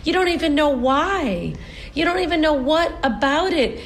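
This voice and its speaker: A woman's voice: sits high, animated, moderate pace. A woman in her forties from the United States, a stage performer being interviewed, telling her family story earnestly with lots of restarts. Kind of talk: casual interview chat.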